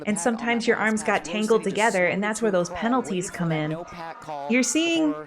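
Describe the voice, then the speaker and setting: A woman giving casual, playful sports commentary on a game, expressive and quick to act out what players might be thinking. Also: medium pitch. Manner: playful, expressive, voices imagined thoughts of players. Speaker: roller derby commentator and former player. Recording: crowd noise behind the voice. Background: roller derby game crowd.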